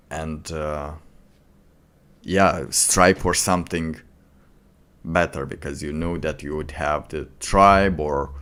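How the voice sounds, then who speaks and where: Slow, very low-voiced man. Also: fairly bright tone. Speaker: streamer reacting to a video. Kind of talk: casual off-the-cuff commentary.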